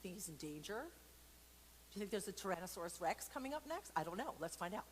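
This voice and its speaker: A woman's voice: fairly low pitch, sing-song, quick pace, rough recording. A woman leading an informal children's storytime for a church, playful and extroverted, chatting in rambling, self-deprecating asides. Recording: noticeable background noise.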